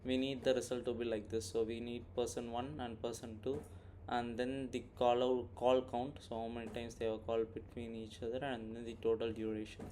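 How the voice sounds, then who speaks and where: A man's voice: medium pitch, little pitch movement, a little fast, warm tone. Man giving a tutorial over noisy background. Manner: thinking aloud, often adding "right?" as a check. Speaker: coding tutorial presenter. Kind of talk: informal screen-recorded tutorial walkthrough. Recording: clear background noise, keyboard typing. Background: computer keyboard typing, background noise.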